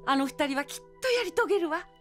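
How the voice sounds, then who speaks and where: A woman's voice: high voice, expressive, quick pace, fairly bright tone, soft background music. An actress playing an elderly mother, earnest and tender as she reassures her daughter in an audio drama. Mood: tender reassurance. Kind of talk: Japanese audio drama acting.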